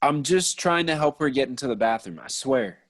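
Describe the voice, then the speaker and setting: A man's voice: mid-range voice, moderate pace, lightly acted. A man voicing a young man at a party in an amateur table read, sounding exasperated. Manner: animated, exasperated.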